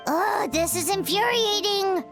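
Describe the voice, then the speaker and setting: A woman voicing a tiny, childlike floating fairy in a video game, chirpy, brash and excitable, with a squeaky voice. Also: measured pace. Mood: frustrated. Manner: excitable, exclaiming. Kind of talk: video game character acting. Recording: music behind the voice.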